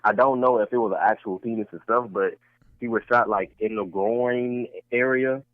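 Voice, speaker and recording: A man's voice: mid-range voice, fairly even intonation, normal speed, no room sound. Man, podcast co-host, casual debate, repeats points, tinny lo-fi audio. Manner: repetitive, argumentative, restarts points.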